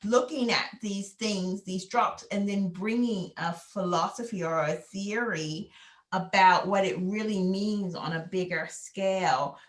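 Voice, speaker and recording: A woman astrology consultant talks in a loose, rambling way and keeps dropping "you know" as she goes. Her voice sits at a middling pitch. The recording has a noticeable room echo around it. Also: a little slow. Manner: rambling, many "you know" fillers, spiritual talk. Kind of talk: casual video call chat.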